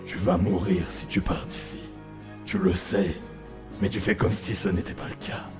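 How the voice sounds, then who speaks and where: A man's voice: deep voice, level tone, moderate pace, close to an everyday voice. A man playing an ominous void spirit in a dramatic comic dub, cold, coaxing and condescending in his menace. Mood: cold, menacing. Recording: echoing voice under clear music.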